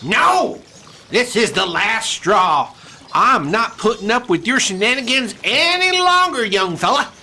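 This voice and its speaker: An actor playing an excitable old Western miser turned joyful in a radio play, stammering and repeating himself. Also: male voice, high-pitched, a little slow, full character voice. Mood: joyful, emotional.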